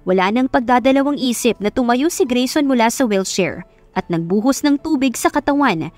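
A woman's voice: a little high, lively intonation, brisk, a little mellow, no echo. A woman delivering scripted, dramatic narration of a love story as its narrator. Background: background music.

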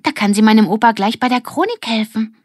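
A woman voicing lively, scheming young girls from a gang in a children's audiobook, excited and emphatic. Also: on the high side, a little fast, breathy edge, no echo, studio quality, pitched above the performer's own voice. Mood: gleeful. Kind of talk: audiobook character dialogue.

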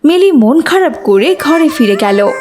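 Casting: female narrator for a kids' cartoon tale, high voice, scripted storytelling. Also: very animated, measured pace, noisy background.

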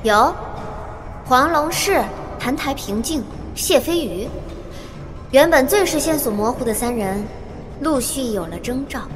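An actress playing a composed seer reporting omens at a royal court, formal and measured, in an audio drama. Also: female voice, high voice, expressive. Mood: composed, certain. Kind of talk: Chinese audio drama acting. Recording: long reverb, music behind.

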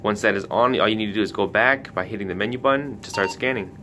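A man hosting a casual hands-on product tutorial, giving careful step-by-step instructions. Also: fast. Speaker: tutorial host for a retailer. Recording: noticeable background noise with low rumble.

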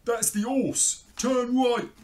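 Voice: mid-range voice, fairly even intonation, slow pace, a little mellow, voice pushed up, natural voice. A storyteller voicing a cunning, bungling thief from a children's storybook, conspiratorial and emphatic. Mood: excited, scheming. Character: cunning, bungling storybook thieves creeping at night.

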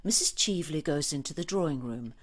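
A woman narrates a radio play from a script. Her voice is notably low, and her arch storytelling moves with lively intonation. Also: a little fast.

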